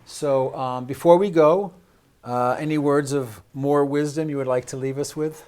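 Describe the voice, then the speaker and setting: A man's voice: medium pitch, steady tone, normal speed, darkish tone, smooth. An American man hosting a casual studio interview, talking in a rambling, hesitant way. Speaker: show host. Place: live studio.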